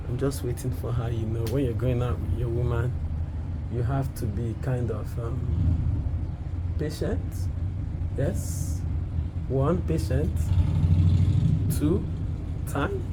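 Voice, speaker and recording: Slow-talking, husky young man vlogging. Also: mid-range voice, heavy background noise. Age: young adult. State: hoarse from a sore throat. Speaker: vlogger, content creator. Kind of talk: casual vlog chat to viewers. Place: outdoors, quiet residential estate street.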